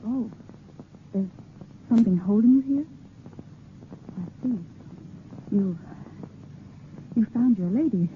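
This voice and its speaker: A woman's voice: on the high side, slow pace, pauses often, narrow, tinny audio. An actress playing a devoted, lovelorn, brave young woman in a 1930s radio drama, with melodramatic pleading. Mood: wistful. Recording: noisy, thin 1930s radio broadcast.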